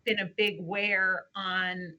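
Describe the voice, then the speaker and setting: A woman's voice: medium pitch, flat delivery, slow, sharp tone. A woman newspaper reporter explaining her reporting in a remote news interview, with frequent "you know" fillers.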